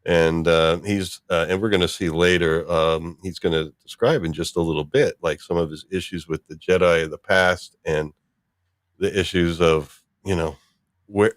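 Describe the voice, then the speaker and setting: Low-voiced man hosting casual fan discussion. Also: moderate pace, dry recording. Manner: chuckling, rambling fan talk. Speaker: host. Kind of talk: casual live-stream discussion.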